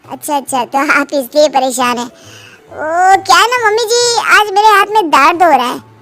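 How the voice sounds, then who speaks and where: Whining lazy son-in-law, high-pitched woman acting. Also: expressive, moderate pace, fairly bright tone, faint music behind. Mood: sheepish excuses. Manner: whining, complaining, excuse-making. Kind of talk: Hindi cartoon moral story dialogue.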